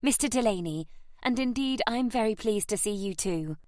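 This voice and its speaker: A woman voicing a tough but charming, flirtatious Irishman with a soft voice, in an audiobook story. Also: fairly high pitch, expressive, normal speed, dry recording, clean studio sound, pitched above the performer's own voice, close to an everyday voice. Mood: warm, flirtatious.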